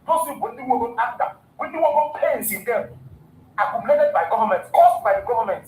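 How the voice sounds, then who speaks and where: High-voiced Nigerian man, impassioned speech. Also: fast. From southeastern Nigeria. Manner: animated, repetitive, switches between languages. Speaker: youth leader speaking in a clip. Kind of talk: impassioned speech mixing Igbo and English. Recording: echoey room with faint background noise.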